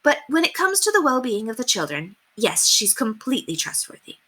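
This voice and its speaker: A woman's voice: a little high, big pitch swings, fairly quick, pushed and bright, husky, pitched above the performer's own voice, heavily acted. A woman voicing an earnest, modest young governess in a storybook, polite and a little self-conscious.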